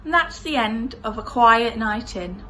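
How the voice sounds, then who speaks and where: A woman's voice: on the high side, expressive, measured pace, sharp tone, slight background noise. A woman reading a children's storybook expressively, in the style of a primary school teacher.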